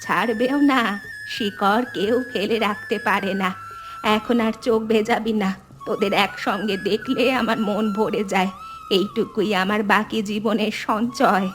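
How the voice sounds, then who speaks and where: Woman voicing a slow, tender elderly mother. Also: medium pitch, music in the background, natural voice. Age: older. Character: elderly loving village mother and grandmother, tender, sentimental. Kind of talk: animated family drama dialogue.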